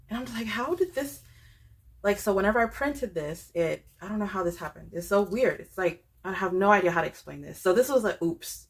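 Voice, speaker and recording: A woman's voice: lowish voice, gravelly. A woman, chatting casually and rambling a little as she shows her budgeting products. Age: 30s. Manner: rambling, chatty, many fillers and restarts. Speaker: budgeting channel creator. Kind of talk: casual chat showing products.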